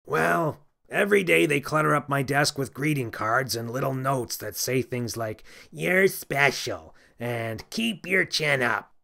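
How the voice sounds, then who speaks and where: Miserable Christmas elf for kids' audiobook. Heavy fry, bright edge, stern grumbling, sneering. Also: male voice, mid-range voice, expressive, measured pace, few pauses, full character voice. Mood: complaining. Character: miserable, grumpy, pessimistic little male Christmas elf. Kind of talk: children's audiobook character voice.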